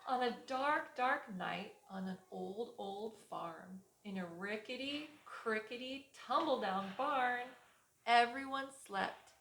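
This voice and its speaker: A woman preschool teacher reading a storybook aloud to young children in a rhythmic, expressive way. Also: a little high, takes it slowly, a little hiss or hum. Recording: echoey room.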